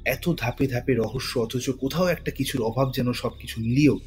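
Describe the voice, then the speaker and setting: A man's voice: mid-range voice, steady tone, moderate pace, darkish tone. A man narrating a scripted thriller story in a dramatic style. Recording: voice over music bed.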